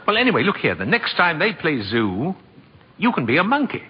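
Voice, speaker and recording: A man's voice: a little high, huge pitch swings, fairly quick. An older male actor in a 1940s radio drama playing a kindly, whimsical grandfatherly man who claims to be Santa, exclamatory and coaxing, often repeating himself. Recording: dull, noisy 1940s radio recording with long reverb.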